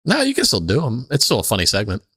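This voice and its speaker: A man's voice: mid-range voice, huge pitch swings, fast talker, pushed and bright, dry recording. A man co-hosting a podcast with casual, irreverent comedic banter. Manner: irreverent, swears freely. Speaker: podcast co-host.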